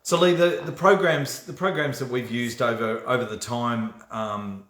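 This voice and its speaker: Male livestream host, Australian accent, average pitch, casual self-help coaching chat, echoey room. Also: steady tone, moderate pace, a bit bright. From Australia, born in New Zealand. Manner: chatty, checks in with "right?". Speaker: host of a personal development livestream. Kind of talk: casual motivational talk. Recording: roomy, echoey room sound.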